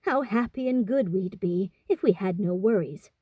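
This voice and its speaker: A woman's voice: mid-range voice, lively intonation, moderate pace, mellow, breathy edge, no room sound. A woman voicing a proper, motherly teenage eldest sister in a storybook, earnest and inclined to lecture. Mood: wistful, chiding. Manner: earnest, chiding.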